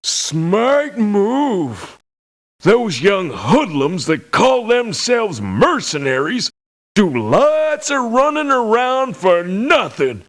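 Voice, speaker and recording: A cantankerous old American soldier of fortune for a video game. His voice is high and slow, swooping in a folksy, scolding way at the young. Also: sing-song, heavily acted. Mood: crotchety. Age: older. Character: crotchety old male veteran mercenary, scornful of youngsters. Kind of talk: video game character voice lines.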